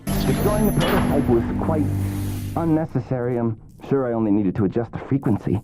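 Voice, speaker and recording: An actor playing a peevish, condescending scientist in a video game, sarcastic and self-important. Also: male voice, medium pitch, sing-song, fairly quick, loud music behind the voice, close to an everyday voice. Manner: sarcastic, haughty. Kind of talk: video game character dialogue.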